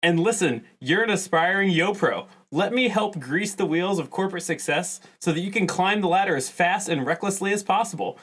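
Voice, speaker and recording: Male podcast panelist, slightly high voice, improvised comic pitch with glib corporate patter. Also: expressive, fairly quick, few pauses, fairly bright tone.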